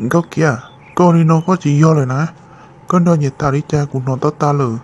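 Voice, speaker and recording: A man voicing a male character in an animated family drama, in a dull lo-fi recording. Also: a little high, slight background noise, close to an everyday voice. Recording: dull lo-fi sound. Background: music.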